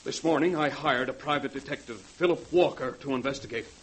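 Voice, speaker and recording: A man's voice: medium pitch, fairly quick, breathy edge, close to an everyday voice, poor audio. An actor playing an anxious, desperate ruined businessman, urgent and earnest, in 1940s radio drama style. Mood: worried. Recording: vintage 1940s radio recording.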